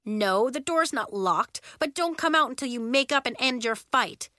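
A woman's voice: a little high, lively intonation, normal speed, bright, forceful tone, no room sound, clean studio sound. A woman voicing an exasperated, bossy schoolboy who gives firm commands, in an audiobook children's story. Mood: exasperated yelling. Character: exasperated, bossy young schoolboy taking charge.

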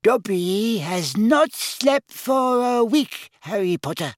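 A man voicing a squeaky, anxious, loyal male house elf. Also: sing-song, slow pace, no echo, studio quality, full character voice. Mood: earnest. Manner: earnest, eager to please.

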